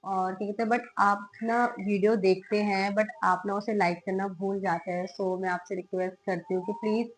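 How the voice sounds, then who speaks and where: A young woman, a mother, chatting to the camera at home in a casual family vlog and coaxing her toddler, her voice hoarse from a cold. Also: mid-range voice, flat delivery, normal speed, few pauses, low-quality recording. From Himalayan hill region of India.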